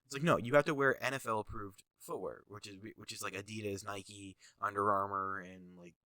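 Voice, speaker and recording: A man's voice: fairly low pitch, fairly quick, pushed and bright, no room sound. A young man with a stuffy nose, talking casually and rambling with lots of fillers as he reviews his own jersey designs. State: stuffy nose, sniffling, tired. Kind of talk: casual commentary over design images.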